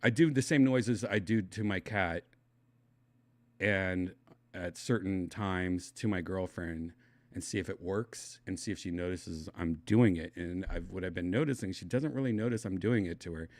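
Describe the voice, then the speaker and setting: Middle-aged male livestream host from Wisconsin with a low voice and a slight lisp, loose mumbly delivery, dry close sound. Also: normal speed, no room sound. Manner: loose, mumbling, trails off into quiet asides. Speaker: livestream host reading chat. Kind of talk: casual rambling chat.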